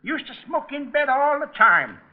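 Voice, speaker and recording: High-pitched, folksy rural old foreman. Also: sing-song, moderate pace. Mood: folksy, rambling. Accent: rural American. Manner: folksy, rambling, repeats himself. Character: folksy, talkative old lodge foreman, eager and muddled. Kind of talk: old-time radio acting. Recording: dull vintage radio broadcast recording.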